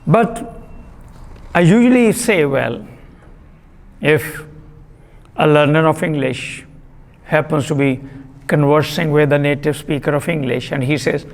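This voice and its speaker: Slow, gravelly male lecturer in a reverberant hall. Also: on the high side. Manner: deliberate, repeats key terms, frequent uh fillers. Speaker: literature lecturer. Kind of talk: classroom lecture.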